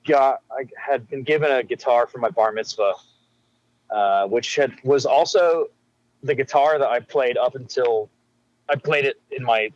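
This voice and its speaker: A man born in England, a musician guest in a casual interview, talking haltingly with many restarts and fillers. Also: medium pitch, dry recording, dull audio.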